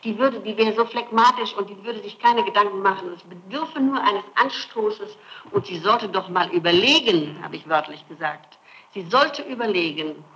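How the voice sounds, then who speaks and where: A noisy archival tape from the 1960s carries a middle-aged woman under interrogation. She speaks at a medium pitch, insistently, halting and repeating herself as she defends her account. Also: pushed and bright, highs cut off. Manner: insistent, halting denials with repetitions. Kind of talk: answering questions in a recorded police interrogation.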